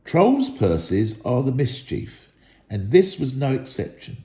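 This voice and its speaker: Animated male storybook reader, echoey room. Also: mid-range voice, slow pace. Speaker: school staff member reading aloud. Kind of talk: dramatic storybook narration.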